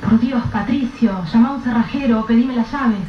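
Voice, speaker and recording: A woman's voice: a little high, fairly quick, music in the background, natural voice. A woman playing a distraught young mother whose husband has vanished, snapping and swearing in bursts. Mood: angry. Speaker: lead character. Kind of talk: radio drama acting. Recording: roomy, dull, noisy radio-play recording.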